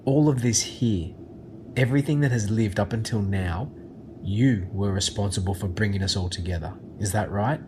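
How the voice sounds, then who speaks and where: A man's voice: lowish voice, natural voice. An actor playing an earnest, thoughtful ordinary man in an audio drama, slightly hesitant in his delivery. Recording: noisy ambience behind the voice.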